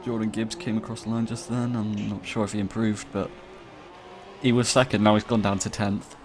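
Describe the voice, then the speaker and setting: A man's voice: on the low side, flat delivery, brisk. Laid-back man co-commentating a race. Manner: laid-back, laughs along.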